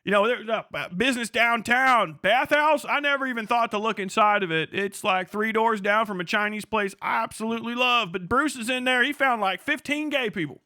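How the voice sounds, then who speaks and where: Irreverent, profane male streamer with a high voice, recorded dry and close. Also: brisk, few pauses, bright, forceful tone, dry recording. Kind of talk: casual live-stream rant.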